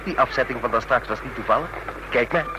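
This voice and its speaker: An actor in a Dutch radio drama playing a chatty, smug train passenger obsessed with spy stories, talking in a knowing, needling way. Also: male voice, fast, close to an everyday voice. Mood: gleefully needling. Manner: talkative, knowing, needling with rhetorical questions. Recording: noisy radio play with vehicle rumble.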